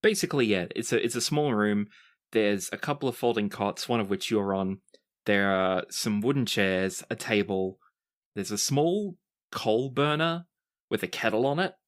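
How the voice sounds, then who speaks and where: A game master narrating a tabletop roleplaying game in descriptive, dramatic phrases with deliberate timing. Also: mid-range voice.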